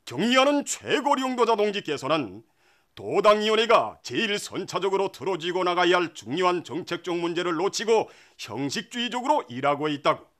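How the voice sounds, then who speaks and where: A man's voice: high-pitched, big pitch swings, brisk, a bit bright. A man with a North Korean accent reading scripted state television news narration in a solemn, reverent, declamatory manner.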